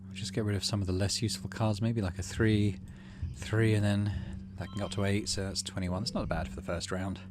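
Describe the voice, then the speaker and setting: A man's voice: lowish voice, level tone, a little fast, noisy background. A man hosting a game playthrough, reading story text aloud expressively and adding casual asides. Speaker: gaming video host.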